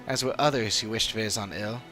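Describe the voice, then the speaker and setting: A man's voice: lowish voice, pushed and bright. Man voicing a thoughtful fantasy game companion over music. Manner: measured, formal, thoughtful. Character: earnest, analytical adventuring companion in a fantasy game. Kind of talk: dramatic reading of game dialogue.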